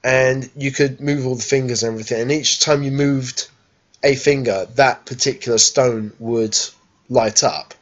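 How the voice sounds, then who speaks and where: A man in his thirties with a croaky cold voice, chatting casually on his sofa into a webcam. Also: medium pitch, fairly even intonation, moderate pace, lo-fi sound. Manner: rambling, chatty, many fillers. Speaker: vlogger. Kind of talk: casual vlog chat. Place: living room sofa at home, then busy indoor exhibition hall. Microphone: webcam at home, handheld phone selfie at the show.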